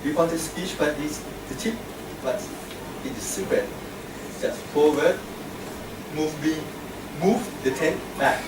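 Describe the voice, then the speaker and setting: A man's voice: on the high side, slow pace, pauses often. A man acting as grammarian, giving a short grammar lesson with worked examples in a club meeting room. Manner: plain, repetitive teaching with worked examples. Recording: echoey room, distant mic, air conditioning hum.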